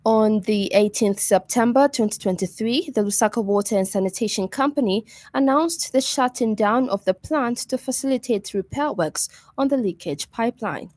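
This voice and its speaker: A woman with a Zambian accent reading a scripted radio news bulletin in a formal, measured manner. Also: on the high side, a little mellow, dry recording.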